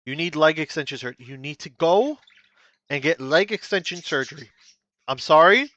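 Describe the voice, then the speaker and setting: A man talking straight into a close desk mic, reacting to a video in loose, blunt commentary. His voice is bright and forceful, and he keeps repeating himself. The sound is dry and a little dull, with no room around it. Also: on the high side, expressive, normal speed, dry recording. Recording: slightly dull dry recording.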